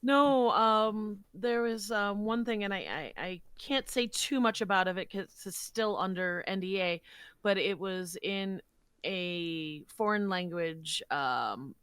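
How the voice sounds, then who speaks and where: A woman's voice: mid-range voice, slow, a bit bright, smooth, dry recording. A woman chatting casually about her work as a guest on a podcast. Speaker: guest voice actor.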